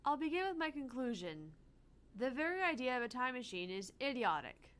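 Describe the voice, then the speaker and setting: A woman voicing a prim, prickly young female artificial intelligence in a visual novel, speaking in a measured, deliberate way. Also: high voice, big pitch swings, fairly bright tone, smooth. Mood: cool, analytical. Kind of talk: visual novel character voice reading.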